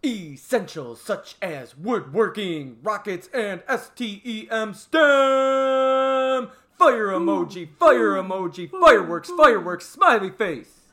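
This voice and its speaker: A woman plays a gushing radio ad promoter bursting with exaggerated excitement. Her pitch swoops around while she draws words out slowly. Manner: exaggerated, drawn-out enthusiasm. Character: wildly excited, gushing promoter of kids' camp. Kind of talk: comic radio advertisement.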